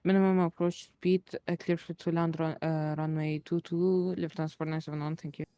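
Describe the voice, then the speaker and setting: Sim pilot, clean clear tone, very even pitch, halting readbacks to the tower. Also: dry recording. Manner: hesitant, stumbling readbacks. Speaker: pilot in an online flight simulation game.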